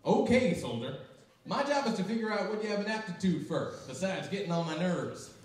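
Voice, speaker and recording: Older man as high-voiced, exasperated army sergeant. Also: big pitch swings, brisk. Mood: exasperated, sardonic. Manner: barking, sardonic. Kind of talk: live old-time radio comedy skit. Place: library room, live performance, indoors. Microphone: stand microphone, distant camera. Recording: echoey room, far mic, audience noise.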